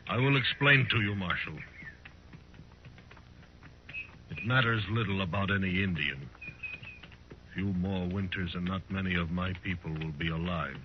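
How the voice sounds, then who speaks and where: Male actor as proud, fatalistic war chief for a vintage radio western. Deep, measured, formal delivery; dull, noisy old recording. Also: lightly acted. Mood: grave, resigned. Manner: formal, measured speech without contractions. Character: proud, embittered older Cheyenne war leader, grave and fatalistic. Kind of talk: 1950s radio western acting.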